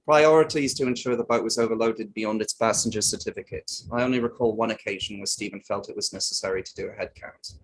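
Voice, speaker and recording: A man's voice: on the low side, steady tone, fast talker, hardly any pauses, low-quality recording. A man playing a matter-of-fact, slightly defensive boat crewman giving evidence in a table read of an inquiry play.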